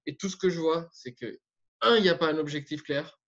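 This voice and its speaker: A man's voice: on the high side, brisk, fairly bright tone. A man coaching and interviewing, explaining his points emphatically. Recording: dull muffled audio.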